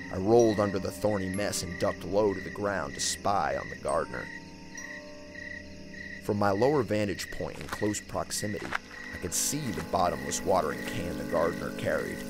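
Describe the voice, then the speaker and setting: Guy w a low voice reading a creepy story, real dramatic and suspenseful, music clearly playing behind him. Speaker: horror story narrator. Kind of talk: dramatic scripted first-person horror narration. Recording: music bed under narration. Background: background music.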